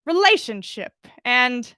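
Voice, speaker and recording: A woman voicing a flustered, nervous woman in a story, halting and stammering as she fibs. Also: on the high side, sing-song, sharp tone, smooth, dry recording, clean studio sound, full character voice. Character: flustered, nervous woman fibbing about a heirloom locket.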